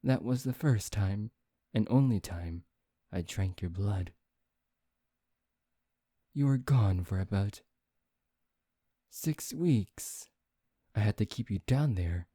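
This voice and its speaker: Someone playing a very slow-talking, smug vampire. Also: deep voice, mellow, husky, dry recording, clean studio sound, exaggerated voice. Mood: smug, teasing. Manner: theatrical, drawn-out, coaxing delivery with mock politeness. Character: smug, teasing high-ranking vampire, playfully menacing and possessive. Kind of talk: ASMR roleplay acting.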